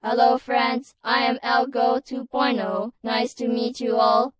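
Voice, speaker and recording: Chirpy, slow-talking algorithm creature voiced by a woman. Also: on the high side, steady tone, a loud crowd, close to an everyday voice. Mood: eerily friendly. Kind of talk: comic dub.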